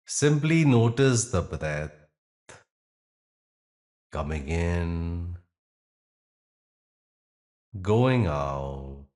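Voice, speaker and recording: A man guiding a meditation in soothing, measured phrases with long, deliberate pauses. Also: deep voice, big pitch swings, darkish tone. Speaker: meditation guide. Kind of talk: guided meditation narration.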